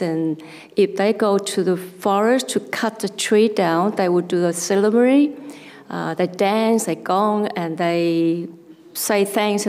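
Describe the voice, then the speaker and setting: A woman from Vietnam with a Vietnamese accent, an artist speaking slowly and haltingly about her work at a public forum. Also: mid-range voice. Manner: halting, searching for words, soft and reflective. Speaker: artist panelist. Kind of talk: talk with slides at a public forum. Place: arts centre hall. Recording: long hall reverb.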